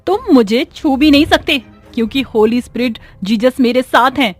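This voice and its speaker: A woman's voice: high-pitched, animated, normal speed, faint music behind. A woman voicing a brave, devout female schoolteacher in a horror story, emphatic and challenging. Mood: defiant. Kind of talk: dramatic horror story acting.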